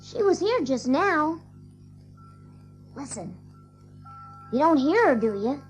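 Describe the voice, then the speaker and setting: A woman's voice: high-pitched, sing-song, slow, faint music behind, full character voice. A woman playing a cocky, boastful little boy with a childlike manner in an audio drama of a storybook. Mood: eager. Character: cocky, boastful ageless little boy who can fly.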